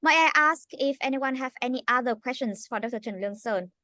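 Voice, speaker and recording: A woman hosts an online session in a polite, formal manner. Her high, bright voice sounds dry and close. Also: brisk, no echo. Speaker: session host.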